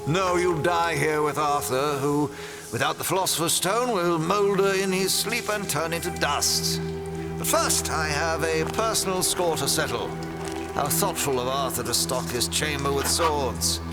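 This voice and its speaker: Audio drama villain, male actor: pompous, megalomaniac collector, theatrical, high-pitched, over heavy music. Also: measured pace, rough recording. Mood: menacing, smug. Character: grandiose villainous male art collector, obsessed with immortality. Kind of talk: fan audio drama acting. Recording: voice under loud music.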